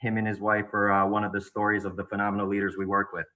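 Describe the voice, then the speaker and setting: Flat, formal man giving a prepared talk. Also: fairly low pitch, fast talker, poor audio. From Bulgaria. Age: 30s. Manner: formal, steady, rehearsed delivery. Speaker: real estate agent and guest speaker. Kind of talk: prepared speech on a video call.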